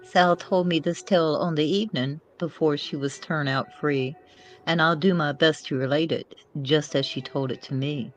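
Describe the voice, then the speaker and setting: A woman playing a young female outlaw who recites her own poem in a measured storytelling cadence. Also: sits low, steady tone, soft background music, lightly acted. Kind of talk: verse recitation within a screenplay reading.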